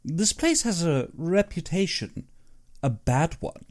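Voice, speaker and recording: A man voicing an uneasy, superstitious big-game hunter in an audiobook, earnest and brooding in his expressive delivery. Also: on the high side, takes it slowly, voice pushed up. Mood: ominous. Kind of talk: audiobook character dialogue.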